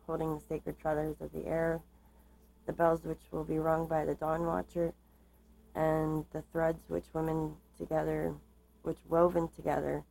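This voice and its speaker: A woman giving a tarot card reading, reading aloud and explaining in a measured, halting way, her voice slightly starting to give out. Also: low voice, vocal fry, warm tone, rough recording. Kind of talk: tarot reading, part read aloud from cards, part informal explanation.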